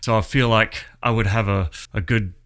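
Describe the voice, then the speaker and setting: Bright-voiced man chatting as a guest. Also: on the low side, steady tone, moderate pace. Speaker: theatre director, interview guest. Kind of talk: casual chat.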